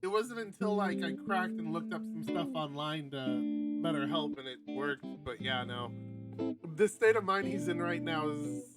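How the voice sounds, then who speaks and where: A man's voice: fairly high pitch, animated, a little slow. A man streaming a visual novel, reading the narration aloud with casual asides and the odd stumble and correction. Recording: prominent guitar music behind voice. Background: loud plucked guitar game music.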